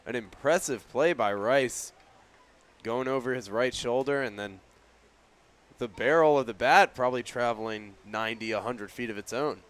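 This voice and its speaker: Mid-pitched male baseball color commentator. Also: normal speed. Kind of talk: conversational sports analysis. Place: live at a ballpark broadcast position.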